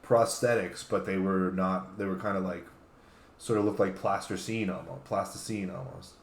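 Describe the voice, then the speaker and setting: A man's voice: deep voice, fairly even intonation, moderate pace, gravelly, slight background noise. A man co-hosting a casual, joking panel chat. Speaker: talk show co-host. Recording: echoey room.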